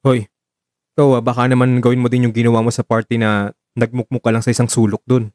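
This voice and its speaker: A man's voice: medium pitch, little pitch movement, fast talker, darkish tone, no room sound, clean studio sound, close to an everyday voice. A man with a Filipino accent acting a teasing, playfully flustered young host on a date in a conversational radio drama. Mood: warm, teasing.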